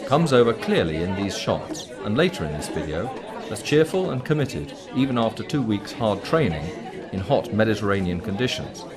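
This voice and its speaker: A man reading scripted documentary commentary in a measured, formal, explanatory manner. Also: fairly low pitch. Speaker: documentary narrator.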